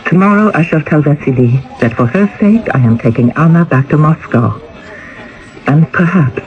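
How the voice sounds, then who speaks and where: An actress playing a spoiled, discontented, scheming wife in a radio drama, with an imperious, theatrical manner. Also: female voice, deep voice. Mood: scheming, seductive. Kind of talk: 1980 radio drama acting. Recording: muffled old radio recording.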